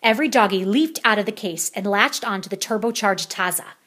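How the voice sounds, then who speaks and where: A woman's voice: medium pitch, brisk, a bit bright, husky. A woman reading a children's picture book aloud as a storyteller.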